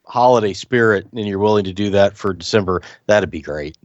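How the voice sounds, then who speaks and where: A man's voice: lowish voice, brisk. A man co-hosts a casual podcast, teasing his partner and slipping into a mock announcer tone. His audio sounds dull and lo-fi. It is dry, with no room echo. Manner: joking, mock-formal announcer delivery. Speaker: podcast co-host.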